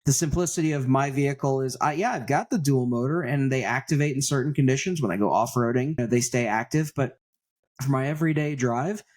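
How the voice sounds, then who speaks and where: A man's voice: medium pitch, brisk, darkish tone. Man chatting casually, rambling and opinionated. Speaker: podcast co-host. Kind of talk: casual panel discussion.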